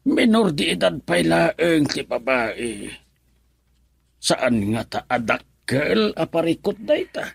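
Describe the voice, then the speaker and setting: A man's voice: a little high, very animated, takes it slowly, creaky, slightly rough, dry recording, heavily acted. A man with an Ilocano accent playing a firm older adviser to a troubled married couple in a radio drama. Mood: grave, explaining.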